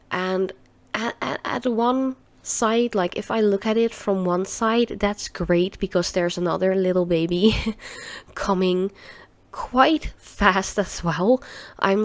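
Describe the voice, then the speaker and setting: A woman's voice: medium pitch, no echo. A Dutch woman in her thirties with a stuffy, nasal voice from a cold, chatting casually through a nail art tutorial in a rambling way. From Netherlands. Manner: rambling, chatty, laughs often.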